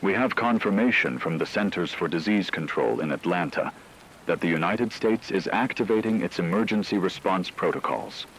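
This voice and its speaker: A man playing a grave radio news anchor, delivering a scripted breaking report in a formal, measured, factual manner. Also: sits low, expressive, fairly bright tone, slight background noise, rough recording. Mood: grave, controlled.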